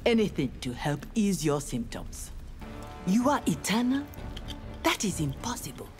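A woman's voice: fairly low pitch, sing-song, unhurried, darkish tone, soft background music. A woman with a Nigerian accent voicing a proud, righteous young ninja warrior in a fighting game, formal and defiant. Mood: resolute. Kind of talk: video game fight dialogue.

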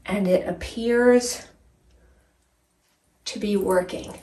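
A woman in her forties chatting casually in an expressive, emphatic way, as a home cleaning vlogger. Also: medium pitch, extremely slow. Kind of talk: casual vlog chat and narration while cleaning. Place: small tiled bathroom, indoors. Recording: roomy bathroom echo, distant mic.

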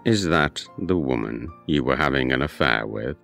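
An actor playing a measured, probing doctor in an audio horror drama, slow, formal and gravelly. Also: male voice, very deep voice, expressive, fairly bright tone, soft background music, exaggerated voice. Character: short round bearded doctor, measured, probing mind-control expert.

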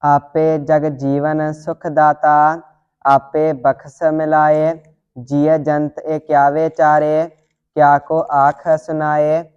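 A student reciting scripture line by line in a steady, deliberate devotional monotone. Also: medium pitch, smooth. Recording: dull lo-fi audio.

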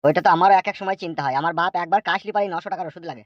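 A man's voice: high-pitched, very fast, dull audio, a little hiss or hum, close to an everyday voice. A man voicing a weary, cynical, foul-mouthed henchman in a comedy cartoon, crude and grumbling. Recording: music behind the voice.

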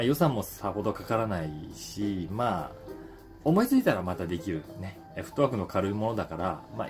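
A man in his forties with a fairly low voice talks casually, as in a solo video column. He is conversational and reflective, pausing to find his words. Music plays clearly behind him. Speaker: voice actor and stage actor, channel host. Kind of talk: casual solo talk to camera, daily column.

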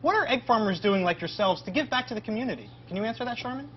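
A man's voice: high voice, animated, very fast. Chatty upbeat guy hosting a school tour interview, bit muffled, some noise behind him. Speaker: host, interviewer. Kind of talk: presenting an educational virtual tour. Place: semi-open metal farm shed. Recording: dull audio with clear background noise.

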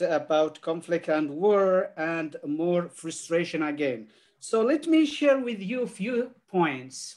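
An older man whose first language is Arabic speaks on a video call from a home office. He talks in a halting, earnest way, full of restarts and fillers, as a panelist giving his remarks. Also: high voice.

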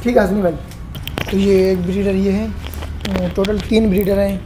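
A man's voice: sits high, little pitch movement, unhurried, background noise. A man hosting a farm video, asking questions on a walkthrough of a goat farm and dropping in short agreeing replies. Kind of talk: casual walkthrough interview. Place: outdoor goat farm pens.

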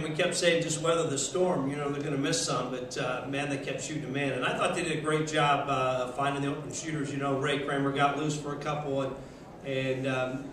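A middle-aged man, a basketball coach, answering reporters after a game at a press table, steady and measured, often repeating words as he thinks. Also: mid-range voice. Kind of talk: postgame press conference. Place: press conference room, seated at table. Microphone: table mic. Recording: echoey room, mic from a distance, noisy.

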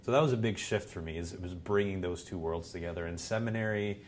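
A man's voice: low voice, quick pace, slight background noise. A man in his thirties from Puerto Rico, a native Spanish speaker, giving thoughtful answers as a seminary professor in a seated interview in a living room. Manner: thoughtful, gesturing with both hands while speaking. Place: living room by a large window.

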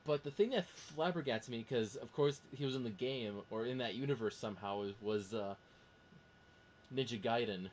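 A man's voice: medium pitch, moderate pace. A man hosting a casual film discussion in a rambling, enthusiastic way. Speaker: podcast host. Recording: faint background noise.

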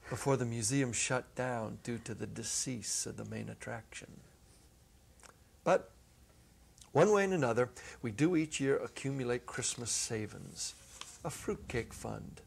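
A middle-aged man narrating a story dramatically from a script, with deliberate phrasing and pauses. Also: medium pitch, creaky, slight background noise. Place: small indoor stage set. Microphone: clip-on lapel mic.

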